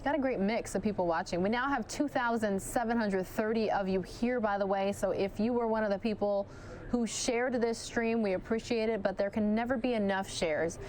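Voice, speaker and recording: A woman news anchor giving live commentary on a police chase, chatting directly with viewers, her voice starting to go hoarse. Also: medium pitch, normal speed, darkish tone, slight background noise, low-quality recording. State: losing her voice.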